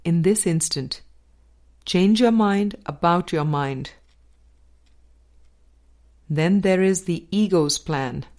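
A woman narrating a spiritual dialogue book as a scripted audiobook reading, with measured, deliberate phrasing and pauses. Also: fairly low pitch, darkish tone. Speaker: audiobook reader.

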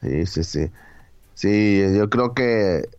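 A man's voice: on the low side, lively intonation, drawn-out words, darkish tone, lo-fi sound. A man, co-hosting a casual sports chat and talking hesitantly, with many fillers. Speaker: co-host.

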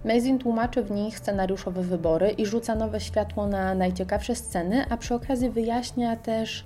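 Woman radio presenter, warm and relaxed tone, chatty scripted read over light background music. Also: mid-range voice. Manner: chatty, addresses listeners with rhetorical questions. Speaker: radio presenter recommending podcasts.

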